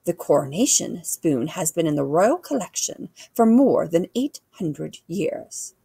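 A woman's voice: on the low side, very animated, unhurried, vocal fry, dark tone, slightly rough, no echo, exaggerated voice. A woman voicing a haughty, pedantic older English queen in a storybook, with a pompous, formal way of talking. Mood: proud. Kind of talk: children's storybook character voice.

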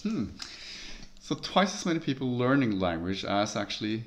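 A man with a gravelly, fry-laden voice at an ordinary middle pitch. He co-hosts a relaxed podcast chat, agreeable and quick to chime in with short affirmations. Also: slight background noise. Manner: agreeable, frequent affirming interjections. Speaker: podcast co-host. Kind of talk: casual conversational podcast.

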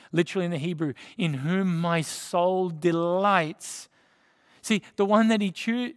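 An Australian man in his thirties preaches from a lectern, his voice sitting a little high for a man. He is earnest and emphatic, and he leans on dramatic pauses. The sound carries the long reverb of a church hall. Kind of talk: sermon. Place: church stage behind a lectern.

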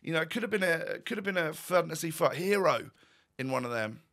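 A croaky man in his thirties talks through his game packs at a desk mic at home. His tone is bright and sharp, and he rambles wryly with plenty of restarts. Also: on the high side, lively intonation, fast talker. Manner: chatty, wry, with fillers and restarts. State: croaky, voice going. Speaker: gaming YouTuber. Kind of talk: casual pack-opening commentary. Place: home room, indoors.

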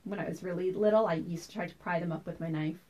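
A woman performing a theatrical monologue as a wistful, anxious woman recalling tense family dinners from her childhood, told deliberately with dramatic pauses. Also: fairly low pitch, fairly even intonation, warm tone, muffled recording, lightly acted. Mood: wistful, uneasy.

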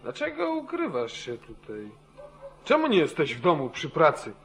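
An actor playing a suspicious, wavering landowner who questions sternly, in the style of old radio drama. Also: male voice, on the high side, huge pitch swings, normal speed, a bit bright, exaggerated voice. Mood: suspicious, accusing. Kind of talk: 1940s Polish radio drama acting.

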